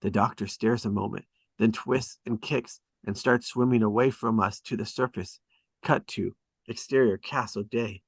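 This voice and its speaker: A man's voice: lowish voice, fairly even intonation, normal speed, slightly rough, no room sound. A man reading stage directions as dramatic scripted narration at a table read.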